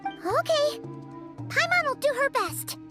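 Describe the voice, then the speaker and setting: Casting: little childlike fairy sidekick for a game, extremely high squeaky voice, lively and cheerful. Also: female voice, takes it slowly, over music, exaggerated voice. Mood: eager, earnest. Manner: refers to self in third person. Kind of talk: video game dialogue acting.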